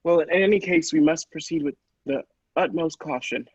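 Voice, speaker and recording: A man's voice: a little high, moderate pace, a little mellow, breathy edge, dry recording, dull audio. A man playing an anxious, cautious doctor in an old-style radio play, speaking with earnest worry. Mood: wary. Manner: worried, earnest.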